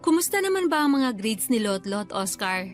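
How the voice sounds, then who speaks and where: A woman's voice: fairly high pitch, sing-song, moderate pace, soft background music. A woman playing a caring, homely mother in a radio drama, speaking with attentive affection. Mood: cheerful, motherly.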